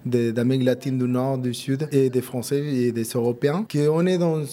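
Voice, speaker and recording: A man's voice: medium pitch, fairly even intonation, a little fast, warm tone. A man from Argentina with an Argentine Spanish accent, a native Spanish speaker, answering informally in a casual interview and often repeating words. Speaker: interviewee, musician and café co-founder.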